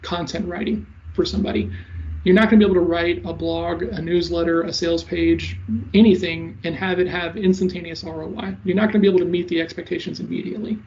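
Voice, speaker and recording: A high-voiced man in his thirties talks fast and stutters now and then as he answers interview questions about coaching. His tone stays flat with a gravelly edge. The audio is a bit dull and lo-fi. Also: a little hiss or hum. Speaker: sales coach and guest.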